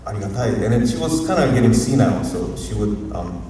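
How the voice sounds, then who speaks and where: A university professor from Hawaii with a Hawaiian accent, giving a rambling, informal, unscripted talk at a community forum and often chuckling. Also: male voice, fairly low pitch, lively intonation, brisk, dark tone. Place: museum auditorium. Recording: echoey hall, distant mic, faint noise.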